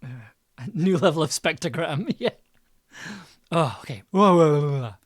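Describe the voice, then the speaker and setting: A woman chatting casually on a livestream while she edits audio, with an expressive, giggly and effusive manner. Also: low-pitched, moderate pace. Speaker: audio drama producer and livestream host.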